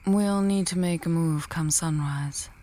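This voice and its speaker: A woman playing an earnest, scholarly female mage in a fantasy audio drama, measured and thinking aloud, dryly testy. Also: low-pitched, dark tone. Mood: calm.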